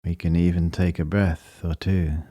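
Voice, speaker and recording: A man leading a guided meditation, speaking in a calm, measured and gently instructing manner. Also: low-pitched, dry recording, clean studio sound.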